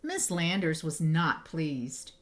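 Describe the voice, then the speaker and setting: A woman reading a children's picture book aloud, with expressive phrasing and emphasized words. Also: sits low, takes it slowly. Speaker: storybook reader.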